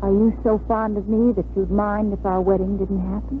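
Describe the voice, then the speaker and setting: An actress playing an earnest, conflicted young bride-to-be in 1950s radio drama style, speaking hesitantly and dramatically. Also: female voice, medium pitch, level tone, moderate pace, close to an everyday voice. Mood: earnest, uneasy. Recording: tinny, noisy old radio broadcast.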